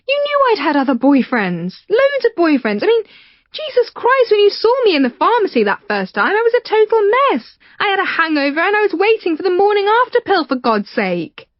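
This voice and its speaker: A woman reading an audiobook, voicing a distraught young English woman who pleads in a high, strained, tearful voice. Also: sing-song, a little fast, no room sound. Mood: pleading. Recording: dull lo-fi recording.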